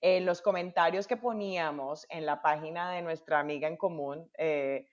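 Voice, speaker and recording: A woman hosts a casual interview in a lowish voice, stopping and restarting her sentences often and dropping English words into her speech. The sound is dull and lo-fi. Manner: halting, many restarts, mixes English words. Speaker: podcast host. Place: lives in Dallas, United States.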